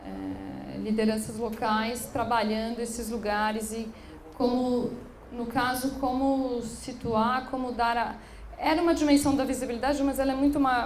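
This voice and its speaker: A woman's voice: fairly high pitch, darkish tone. A woman from São giving a public talk as a curator, speaking hesitantly with many restarts and fillers. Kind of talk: public lecture. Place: room in an occupied building. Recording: echoey room, faint background noise.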